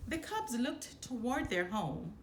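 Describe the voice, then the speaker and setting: A woman's voice: a little high, expressive, slow. A woman storyteller reading a children's book aloud expressively. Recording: roomy echo, background noise.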